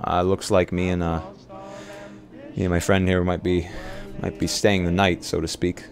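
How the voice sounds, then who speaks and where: Low, creaky-voiced man roleplaying casually. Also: flat delivery. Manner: hesitant, halting. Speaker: roleplay player. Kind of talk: casual improvised tabletop roleplay.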